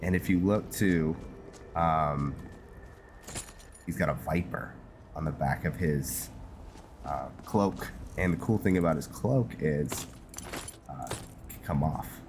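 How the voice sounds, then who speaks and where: A man's voice: extremely low pitch, slow, long pauses, darkish tone, a little hiss or hum. A man giving casual, meandering gameplay commentary, hesitating as he talks to himself and his viewers. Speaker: gamer, host of a roleplay series. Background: video game music.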